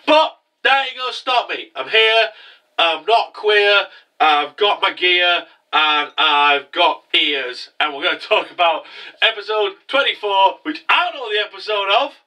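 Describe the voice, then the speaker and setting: A man's voice: sits high, sharp tone, far from the mic, poor audio. A young man with a cold, hosting a profane, rambling casual review show. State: congested from a cold. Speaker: anime review host. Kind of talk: casual recap commentary.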